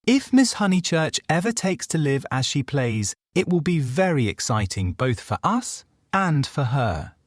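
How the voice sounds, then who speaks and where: A man's voice: on the high side, very animated, unhurried, few pauses, no echo, clean studio sound. Man playing a courteous, dryly amused clergyman. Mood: intrigued. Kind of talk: audiobook character dialogue.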